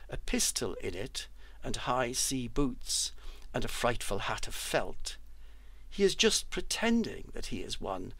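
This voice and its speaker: A man reads verse expressively at a slow pace, shaping each word with care. His voice sits at a middling pitch, and the recording is dry and close. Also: creaky, fairly bright tone, dry recording. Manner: careful, deliberate articulation. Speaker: poetry reader who has trained actors. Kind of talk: expressive poetry recital.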